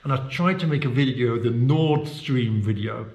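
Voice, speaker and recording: A man's voice: mid-range voice, moderate pace, a little mellow. A man from the UK with a British accent, chatting casually while giving a handheld-camera tour of his indoor office studio, rambling with lots of fillers and restarts. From United Kingdom. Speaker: vlogger. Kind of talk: casual walking-tour vlog. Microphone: handheld camera mic. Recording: echoey room.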